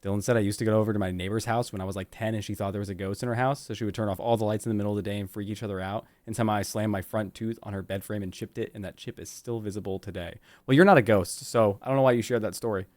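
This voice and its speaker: A man's voice: fairly low pitch, steady tone, very fast, few pauses, a little mellow, no room sound. A man co-hosting a livestream, chatting casually and reading out messages with playful teasing and some swearing. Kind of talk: casual joking banter on a livestream.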